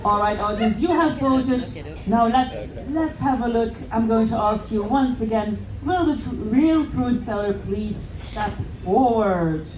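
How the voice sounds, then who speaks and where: A woman hosting a live stage game show, briskly prompting contestants and calling on the audience to vote. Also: a little high. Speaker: game show host on a cruise ship. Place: large ship theater with live audience. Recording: echoey theater picked up from far off. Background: audience chatter and applause.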